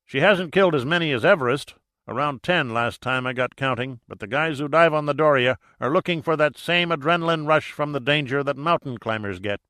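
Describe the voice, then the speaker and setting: A man's voice: a little high, a little fast, pushed and bright, no room sound, studio quality. A man voicing a hearty, blunt Irish sea captain and seasoned diver in an audiobook, with salty, colloquial talk. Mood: grave warning. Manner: colloquial, salty, vivid storytelling. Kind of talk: audiobook character dialogue.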